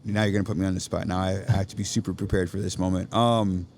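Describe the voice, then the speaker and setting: Low gravelly man, earnest talker. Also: little pitch movement, fast, a little mellow, a little hiss or hum. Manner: earnest, thinks aloud with many "right?" and "like". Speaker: podcast guest, education nonprofit leader. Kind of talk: casual interview chat. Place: coffee shop.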